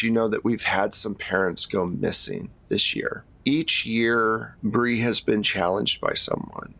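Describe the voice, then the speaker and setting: A man's voice: on the low side, creaky, fairly bright tone, dry recording, exaggerated voice. A man playing a reserved, weary middle-aged school administrator in a tabletop game, choosing his words carefully and hesitantly. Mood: confiding.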